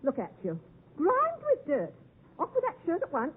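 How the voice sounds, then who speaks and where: An actress playing a bossy, sarcastic blacksmith's wife who scolds and bullies, in 1950s radio drama style. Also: female voice, sits high, huge pitch swings, normal speed. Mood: scolding, bossy. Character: sharp-tongued, bullying blacksmith's wife, scolding elder sister. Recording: tinny, narrow 1950s radio broadcast.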